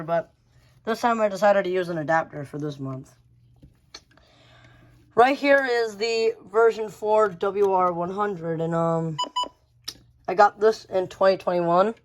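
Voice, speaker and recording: A woman giving a casual, unscripted show-and-tell of a collection, rambling and often repeating phrases. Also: medium pitch. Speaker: radio collector showing a collection.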